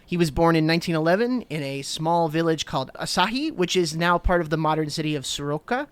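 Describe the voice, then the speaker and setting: A man's voice: a little high, lively intonation, fast, clear, resonant tone, dry recording. A man in his thirties from New Jersey, co-hosting a podcast, talking eagerly and rambling with lots of fillers. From New Jersey, USA. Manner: enthusiastic, rambling with many fillers. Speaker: podcast co-host. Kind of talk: casual chat.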